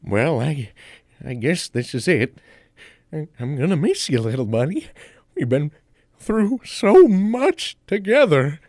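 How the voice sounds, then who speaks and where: A man's voice: fairly high pitch, big pitch swings, slow pace, a little mellow, no echo, clean studio sound, full character voice. A man playing a good-natured, bumbling, self-important superhero in a comic audio drama, mock-heroic with a tendency to stammer. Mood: helpful, sentimental.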